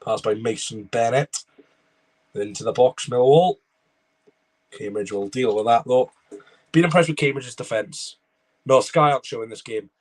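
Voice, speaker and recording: A young man with a Welsh accent talks through a football match on a live stream. His commentary is casual and loose. He drifts between the action on the pitch and replies to his chat. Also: normal speed, pauses often. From Wales. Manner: rambling, chatty, reads viewer comments aloud.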